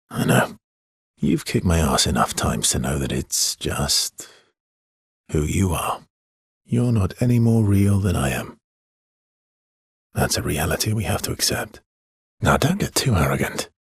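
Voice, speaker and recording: An actor playing a wry, loyal, world-weary swordsman in a video game, with a husky, breathy voice and a dry, teasing manner. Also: low voice, no echo, full character voice. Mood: sincere, subdued.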